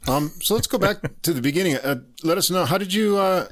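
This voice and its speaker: An American man hosting a podcast, asking questions in a relaxed, friendly way with plenty of fillers. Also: high-pitched, fairly quick. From United States. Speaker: podcast host. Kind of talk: casual interview talk.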